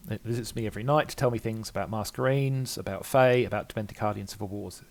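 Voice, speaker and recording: A man reading a game journal as an anxious scholar who doubts his sanity, rambling and repeating himself in a gravelly voice. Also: on the low side, quick pace. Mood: agitated. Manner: rambling, self-repeating, fretful.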